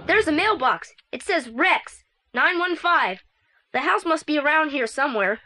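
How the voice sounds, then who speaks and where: An actor playing an anxious, excitable teenage boy sidekick in an audio drama, plain-spoken and given to breathless exclamations. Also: extremely high pitch, a little slow, background noise. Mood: exasperated.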